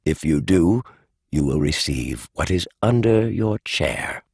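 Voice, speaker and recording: A man's voice: low-pitched, sing-song, takes it slowly, vocal fry, darkish tone, slightly rough, dry recording, pitched below the performer's own voice, exaggerated voice. A man reading a stiff, formal old lawyer. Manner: formal, precise. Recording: dry studio audiobook.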